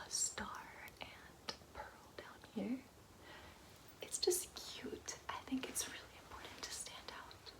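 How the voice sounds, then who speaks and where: Woman, breathy whisper, soft-spoken ASMR instructor role: ditzy materialistic gold-digger, chatty, girlish, prone to rambling asides. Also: a little high, poor audio. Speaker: ASMR roleplayer playing a class instructor.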